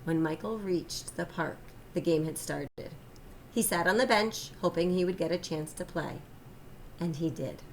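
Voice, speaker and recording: A woman reading a children's story aloud like a teacher, expressive and deliberate, stressing the key phrases. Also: on the low side, a little hiss or hum, low-quality recording. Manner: expressive, deliberate, emphasizes and repeats key lines. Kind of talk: children's read-aloud narration.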